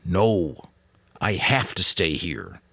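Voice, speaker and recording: Man acting grave, emphatic old mentor, animated pitch. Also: creaky, slightly rough, no echo, clean studio sound, exaggerated voice. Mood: firm, alarmed. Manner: earnest, emphatic, deliberate. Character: weary, firm old male mentor, grave and caring. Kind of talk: dramatic audiobook reading.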